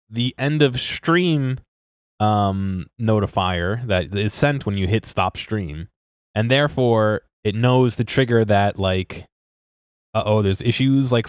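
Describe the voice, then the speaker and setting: A man's voice: medium pitch, clean tone, no room sound, studio quality. A congested man chatting casually to his live-stream audience, rambling and interrupting himself, chuckling now and then.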